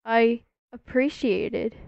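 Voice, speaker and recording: A woman's voice: a little high, slow, vocal fry, clear, resonant tone, no room sound, highs cut off. A woman voice acting a scripted roleplay story. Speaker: creator narrating and voicing characters in Roblox roleplay stories.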